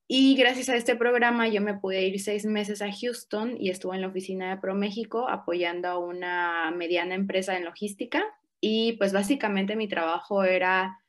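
A young Mexican woman with a Mexican accent, a guest answering interview questions in a relaxed, reflective way, often filling with "o sea" and "como". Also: mid-range voice.

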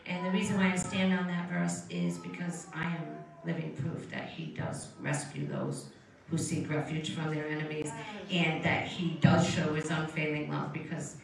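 A woman's voice: deep voice, lively intonation, normal speed, vocal fry, few pauses, crowd noise. Earnest woman in her fifties, echoey. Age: 50s. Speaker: program resident giving testimony. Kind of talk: church testimony. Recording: distant mic, heavy room echo, background noise.

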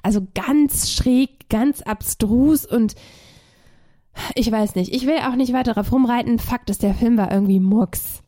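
A woman's voice: on the high side, a little slow, dark tone. Woman with a cold chatting about a film. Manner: rambling, many fillers and restarts. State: has a cold. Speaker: podcast co-host. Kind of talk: casual film review chat.

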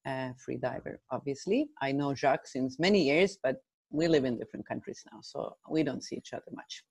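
Lower-voiced woman hosting a chat. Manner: friendly, leading questions with fillers. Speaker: host, freediving training director. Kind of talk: casual interview.

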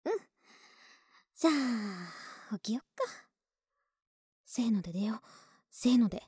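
A woman playing a clingy, sweet young neighbor in an ASMR voice drama, soft and breathy, often whispering, intimate and coaxing, close to the mic. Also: on the high side, expressive, measured pace, no room sound, full character voice. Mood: coaxing, playful. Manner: intimate, affectionate, hesitant and coaxing. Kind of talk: Japanese ASMR situation voice drama. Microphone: close, dry ASMR microphone.

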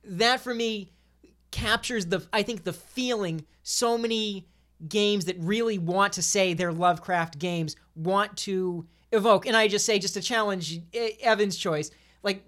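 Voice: medium pitch, measured pace, fairly bright tone. A speaker chatting casually about video games on a podcast in a rambling, stammering way, often repeating phrases.

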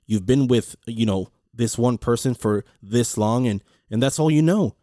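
A man with a deep voice speaks to his podcast listeners in an earnest, motivational way. He rambles, repeats words and restarts his sentences as he thinks aloud. His pitch sits in an ordinary middle range, and the dry recording has little room sound. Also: moderate pace, warm tone, no room sound. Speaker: podcast host. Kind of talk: casual reflective monologue.

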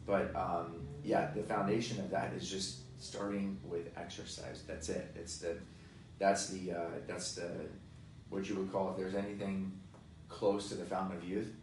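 A man in his forties speaks with a very deep voice, talking fitness in a loose podcast chat. He is emphatic and energetic, leaning on "you know" and "right?". The mic is distant in an echoey room, with faint noise. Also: normal speed. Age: 40s. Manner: energetic, emphatic, many fillers. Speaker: fitness guest expert.

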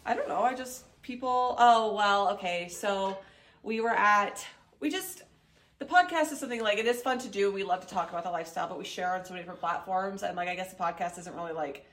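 Woman, young adult co-host, talkative and animated, a touch stuffy-nosed from recent illness. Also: medium pitch, fast. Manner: chatty, many "like" fillers, animated. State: slightly nasal and sniffly, getting over an illness. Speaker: podcast co-host. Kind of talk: casual chat.

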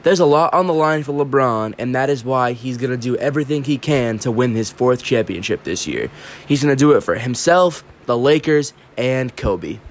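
A man's voice: mid-range voice, lively intonation, normal speed. A congested man hosting his own show, delivering an opinionated, emphatic sports monologue. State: congested from allergies. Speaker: podcast host.

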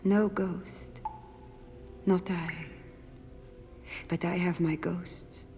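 An actress playing a tormented, riddling woman of the river's dark in a gothic audio drama, speaking theatrically and slipping into whispers. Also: fairly low pitch, steady tone. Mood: menacing. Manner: slow, theatrical, breaking into whispers. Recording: roomy echo, long reverb, faint music.

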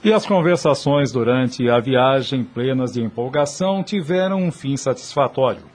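A man's voice: mid-range voice, animated, normal speed, a little mellow. A man with a Brazilian accent narrating a radio drama from a script in formal, literary style.

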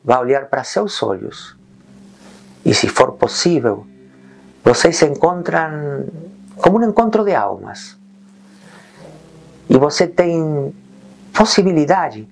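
A man leading a guided meditation in calm, gentle short phrases with long pauses. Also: mid-range voice, gravelly.

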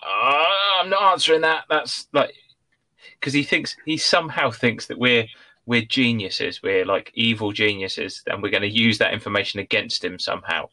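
A man's voice: mid-range voice, normal speed, pushed and bright, low-quality recording. A British man co-hosting a podcast with casual, sweary comic banter and jokey storytelling. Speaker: podcast co-host.

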